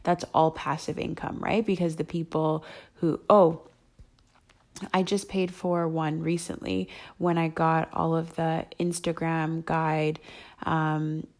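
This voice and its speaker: A young adult woman with a hoarse voice, rambling and thinking aloud with many ums as she hosts her solo podcast. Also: sits low, flat delivery, takes it slowly, darkish tone. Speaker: podcast host. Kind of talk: casual solo podcast chat.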